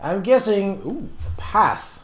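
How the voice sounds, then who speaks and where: A man's voice: high voice, big pitch swings, slow, reverberant, faint music behind, rough recording. A man casually commenting on his game as he plays, chatty and easily distracted, thinking aloud. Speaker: Let's Play host.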